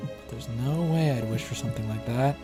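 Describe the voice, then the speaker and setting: A man's voice: mid-range voice, fairly quick. A man playing a visual novel, reading its story text aloud and reacting as he goes. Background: game music.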